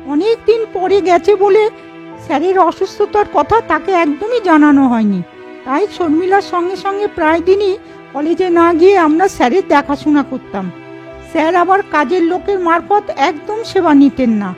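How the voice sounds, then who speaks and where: Woman playing a very high-pitched anxious college girl. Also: darkish tone. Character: anxious, earnest college-girl younger sister. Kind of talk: children's cartoon story dialogue. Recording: dull lo-fi audio over music.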